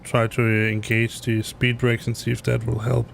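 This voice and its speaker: A man's voice: medium pitch, completely flat delivery, normal speed, gravelly, a little hiss or hum. Hesitant Danish man chatting while flying. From Denmark. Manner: rambling, hesitant, self-correcting with many fillers. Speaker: streamer flying a flight simulator. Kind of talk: casual live-stream chat. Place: home basement room.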